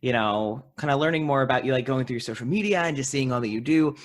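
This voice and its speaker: A man's voice: mid-range voice, fast. A man hosting a podcast, talking casually and conversationally with a guest. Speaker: podcast host.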